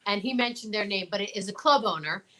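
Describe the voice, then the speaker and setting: A woman's voice: mid-range voice, fast talker, a bit bright. A woman talk show host chatting casually, emphatic and opinionated, often posing questions. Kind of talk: casual chat.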